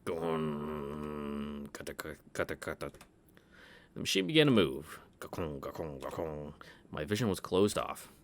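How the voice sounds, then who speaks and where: A man's voice: extremely low pitch, unhurried, a little hiss or hum. A man reading a visual novel aloud in a casual read-through, joking and swearing between lines. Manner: reads narration aloud, jokes and swears. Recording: voice over game music.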